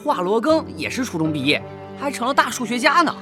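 A man's voice: very high-pitched, sing-song, brisk. An actor in a radio drama playing a sincere, dutiful teenage farm boy, earnest and resolute. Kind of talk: Chinese radio drama acting. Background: music.

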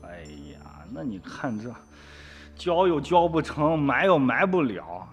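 A whining young village son. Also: male voice, a little high, very animated, a little slow, muffled recording, music in the background. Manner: whining, sighing, pleading. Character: poor young village man, guilty and pleading. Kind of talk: radio drama acting.

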